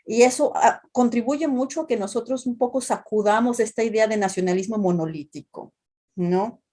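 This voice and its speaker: A woman's voice: a little high, moderate pace, mellow. A woman from Mexico with a Mexican accent, a translation professor explaining ideas in an interview with many restarts and tag questions. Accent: Mexican Spanish. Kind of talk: academic interview talk.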